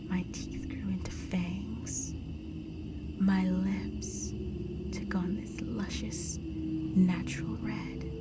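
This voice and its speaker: A woman playing a seductive, possessive vampire countess in an intimate roleplay audio drama, speaking in a sultry, commanding way that often drops toward a breathy whisper. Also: mid-range voice. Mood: wistful, sinister. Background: loud music.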